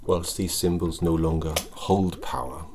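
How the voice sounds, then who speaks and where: A man with a Welsh accent voicing a scheming old alchemist in an ASMR roleplay. He speaks softly in archaic thee-and-thou speech and sometimes slips into whispers. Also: deep voice, measured pace, background noise. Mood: hushed, explanatory. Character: scheming old male court alchemist, wretched and sinister.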